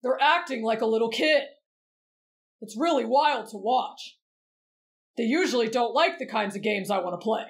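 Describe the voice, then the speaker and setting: A woman's voice: on the high side, expressive, moderate pace, long pauses, lightly acted. Female performer as rowdy teenage anime fighter boy, brash, cocky, blunt, challenging. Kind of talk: anime fan roleplay audio drama.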